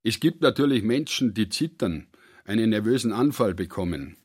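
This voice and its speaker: Radio drama role: a detached, plain-spoken man, slightly low and rough-edged. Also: animated, normal speed, no echo, clean studio sound.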